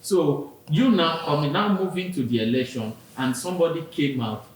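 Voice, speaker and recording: A Nigerian man on a podcast, earnestly explaining his view with personal examples. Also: moderate pace. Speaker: podcast co-host. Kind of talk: casual discussion. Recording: very echoey room, faint rumble.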